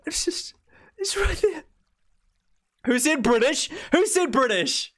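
Playful high-voiced New streamer. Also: male voice, sing-song, slow, a bit bright. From New Zealand. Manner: playful, jumps to high squeaky protests when teased. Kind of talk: casual game stream chat.

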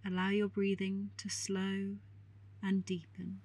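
A woman leads a guided meditation in a soft, husky, breathy voice at a medium pitch. She speaks extremely slowly and calmly, leaving long pauses between short, soothing instructions.

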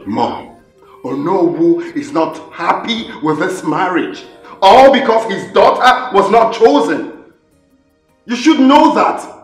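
A man's voice: high-pitched, animated, takes it slowly, soft background music, full character voice. A man with a Nigerian accent playing a headstrong, indignant prince in a film drama, speaking emphatically and repeating himself. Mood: defiant. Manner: emphatic, repetitive, defiant. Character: headstrong, indignant prince defending his marriage. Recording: roomy echoing film sound.

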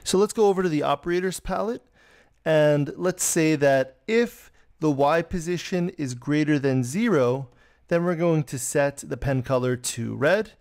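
A man's voice: fairly high pitch, measured pace, darkish tone. A man teaching a coding lesson as a voice-over on a screen recording, explaining patiently step by step. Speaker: computer science teacher.

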